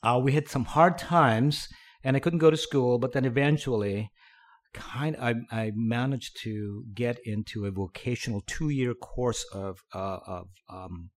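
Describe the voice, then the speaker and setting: A middle-aged man from the Philippines, a podcast guest recounting his past in a relaxed, halting way with many fillers and restarts. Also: mid-range voice. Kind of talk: conversational storytelling.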